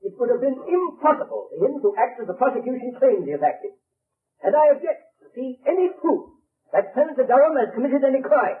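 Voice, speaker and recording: An actor playing an earnest, indignant defense attorney in a vintage radio drama, formal and oratorical. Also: male voice, very high voice. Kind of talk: 1930s-40s radio drama acting. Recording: muffled, narrow-band vintage radio recording.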